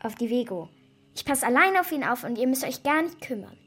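An actress playing an eager, scheming young girl in a children's radio drama, excitable, insistent and pleading. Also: high-pitched, fairly quick, soft background music.

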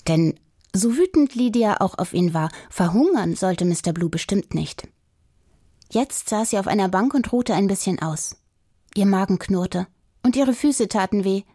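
A woman's voice: mid-range voice, lively intonation, a little slow, dark tone, slightly rough, no room sound, studio quality. A woman reading a children's audiobook as a scripted story narrator.

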